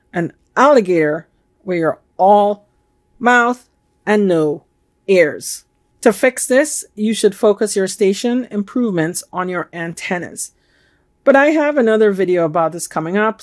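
Measured woman explaining things. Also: medium pitch. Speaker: amateur radio video host. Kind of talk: explanatory talk to camera. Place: home room with bookshelves, indoors.

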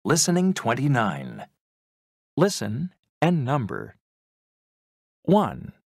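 A man announcing language exercises in a measured, instructional, scripted manner. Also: medium pitch, huge pitch swings, vocal fry, no echo, studio quality. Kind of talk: scripted textbook audio announcements.

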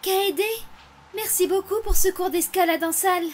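A woman's voice: very high-pitched, fairly even intonation, moderate pace, a little hiss or hum. A woman voicing a timid, anxious schoolgirl in an anime fan dub, speaking in a hesitant, plaintive way. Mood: subdued, grateful.

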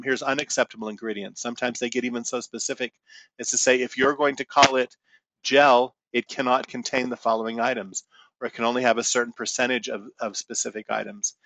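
A man's voice: mid-range voice, steady tone, brisk, fairly bright tone. A man presenting products in a salon-business webinar, talking conversationally and correcting himself as he explains. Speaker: company presenter. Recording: dull lo-fi webinar audio.

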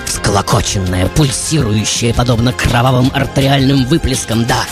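A man playing a passionate, brooding composer who tells his life in a dramatic, poetic radio monologue with deliberate pauses. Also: mid-range voice, lightly acted. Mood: brooding, solemn. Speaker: radio show host. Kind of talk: theatrical radio monologue over music. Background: music.

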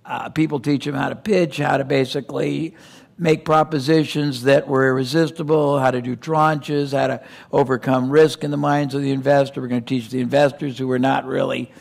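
An older man giving a keynote on a conference stage, talking in an animated way with quick digressions and restarts. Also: medium pitch, creaky. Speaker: keynote speaker. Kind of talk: conference keynote in seated interview format. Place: conference stage before a live audience.

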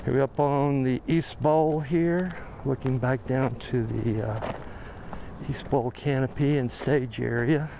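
A man with an American accent walks a construction site outdoors, talking casually to his camera and thinking aloud with plenty of pauses and fillers. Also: mid-range voice, steady tone, creaky, dark tone. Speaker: videographer on a walking site tour. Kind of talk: casual walking commentary. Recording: outdoor camera audio with noticeable background noise.

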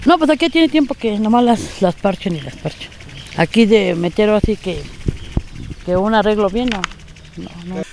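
A woman's voice: medium pitch, animated, moderate pace, creaky, darkish tone, slight background noise. A middle-aged woman with a Mexican Spanish accent, talking in a rambling, filler-heavy way into a handheld mic outdoors by a fence. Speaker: resident interviewed on the street. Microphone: handheld reporter mic.

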